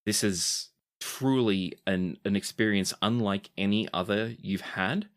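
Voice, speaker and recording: A man running a tabletop game as game master, narrating scenes in an unhurried storytelling manner. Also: on the low side. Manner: descriptive, unhurried storytelling with dramatic pleading quotes.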